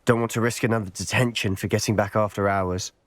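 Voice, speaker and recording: A young actor playing a cautious, curious wizard schoolboy in a game, brisk and concerned. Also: male voice, fairly low pitch, fairly even intonation, no echo, lightly acted. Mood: friendly.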